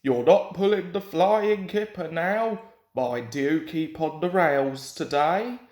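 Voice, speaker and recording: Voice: mid-range voice, takes it slowly, a little mellow, close to an everyday voice. A man voicing a pompous, grumbling, indignant male steam engine from a children's storybook. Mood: warning. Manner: indignant, self-important. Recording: roomy home recording with echo.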